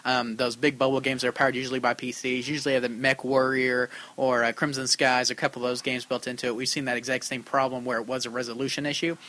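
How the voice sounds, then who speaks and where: Bright, fast-talking man co-hosting a show. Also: medium pitch, little pitch movement. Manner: upbeat, quick, reads letters aloud. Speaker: podcast co-host. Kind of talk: casual chat, reading listener letters.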